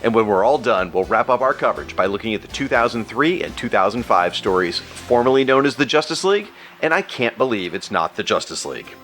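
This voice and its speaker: A man's voice: lowish voice, lively intonation, a little fast, hardly any pauses, fairly bright tone. A man narrating a scripted promo for his podcast as its host. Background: music.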